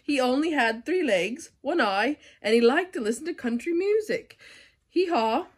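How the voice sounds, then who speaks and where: A woman's voice: sits high, lively intonation, normal speed. A young woman reading a children's picture book aloud, giggly and struggling to keep a straight face. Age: young adult. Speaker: storybook reader. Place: living room sofa, indoors.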